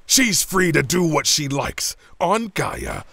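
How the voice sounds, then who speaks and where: A man's voice: fairly high pitch, huge pitch swings, slow, vocal fry, no echo, clean studio sound, heavily acted. An actor playing an exasperated, defensive male god burdened with authority in an audio drama, swinging between pleading and muttering. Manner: volatile, from pleading outbursts to hushed muttering.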